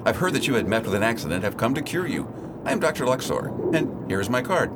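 An actor playing a courteous, inquisitive gentleman physician in an old-fashioned radio drama, speaking formally and theatrically. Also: medium pitch, quick pace, close to an everyday voice. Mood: soothing, professional. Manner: formal, polite, theatrically animated. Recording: loud background noise in radio play.

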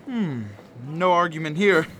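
Man as a high-voiced folksy carriage driver. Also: a little slow, background noise, natural voice. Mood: sly, haggling. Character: folksy, sly, easily bribed carriage driver. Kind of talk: radio drama acting.

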